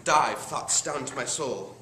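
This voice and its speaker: A teenage male student performing a bitter, deformed, scheming duke in a theatrical, deliberate Shakespearean stage monologue. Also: medium pitch, bright, forceful tone. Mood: scheming, menacing. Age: teens. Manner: theatrical, deliberate, dramatic pauses. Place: high school classroom. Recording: roomy classroom recording, faint background noise.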